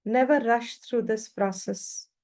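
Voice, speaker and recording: A woman leading a guided meditation as a teacher, speaking calmly in short phrases with long pauses between them. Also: on the high side.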